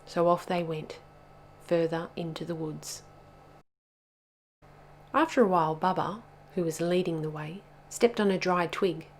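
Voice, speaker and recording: A woman narrating a children's picture book as a storyteller, in a deliberate and expressive manner. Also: fairly low pitch, a little hiss or hum.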